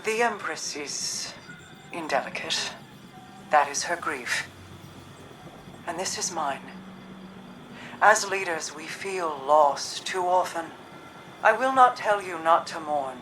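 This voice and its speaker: A woman voicing a composed, regal queen in a game cutscene, grave and consoling, speaking softly in measured, formal phrases. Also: lowish voice, lively intonation, soft background music, poor audio. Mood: solemn, consoling. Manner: measured, formal, soft-spoken. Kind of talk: dramatic video game cutscene acting.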